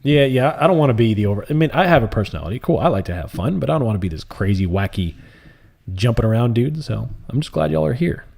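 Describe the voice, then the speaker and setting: A man hosting a live stream, chatting casually and calmly while answering viewer comments in a soft, laid-back way. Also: mid-range voice, fast, creaky. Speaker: livestream trading host.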